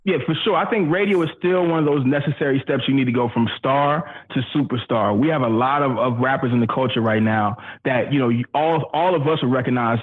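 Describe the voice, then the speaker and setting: A man's voice: mid-range voice, brisk, few pauses, narrow, tinny audio. A middle-aged man, a radio professional, chatting as a podcast guest in an easygoing, slangy, explanatory way. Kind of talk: casual conversational interview.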